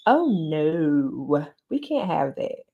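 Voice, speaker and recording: Lady in her late 30s, chatty podcast host talking to y'all on her live, animated. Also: low-pitched, drawn-out words, breathy edge, narrow, tinny audio. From North Carolina, US. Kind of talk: casual livestream chat.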